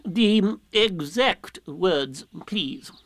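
A man voicing a pompous, ponderous owl who feigns wisdom in a children's story, hesitant and drawn-out, humming and hawing. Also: high voice, expressive, a bit bright, no echo, voice pushed up, exaggerated voice. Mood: grave, pompous.

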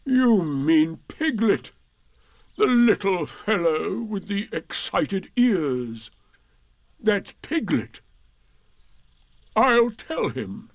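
A man voicing a gloomy, self-pitying old male donkey in a storybook reading, speaking in a slow, mournful drawl. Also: high-pitched, sing-song, no echo, voice pushed up, exaggerated voice.

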